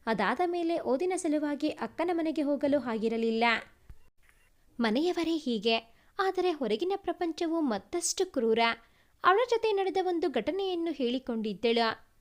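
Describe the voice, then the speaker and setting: A woman reads a sentimental family story aloud as a storyteller, in a measured, formal way. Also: high-pitched, expressive. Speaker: storyteller, narrator.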